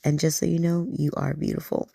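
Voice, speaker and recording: Low, gravelly bedtime storyteller woman. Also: level tone, a little fast, no room sound, studio quality. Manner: soft, gentle and soothing.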